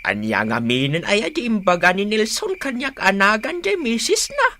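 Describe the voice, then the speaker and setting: A man's voice: high voice, big pitch swings, normal speed, pushed and bright, a little hiss or hum, heavily acted. A man playing a nosy, insistent father-in-law in a radio drama, with an Ilocano Filipino accent. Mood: coaxing. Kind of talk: Philippine radio drama acting.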